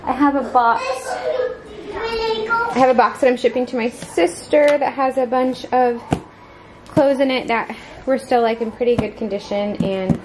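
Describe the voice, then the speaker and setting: A woman's voice: high voice, animated, measured pace, long pauses. A young adult American woman chatting casually to viewers, thinking aloud in a meandering, informal way, recorded on a handheld camera at home. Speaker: vlogger, mother preparing for a baby. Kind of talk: casual vlog chat to viewers. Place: inside a home, moving between rooms. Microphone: handheld camera mic. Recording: handheld camera with clear background noise.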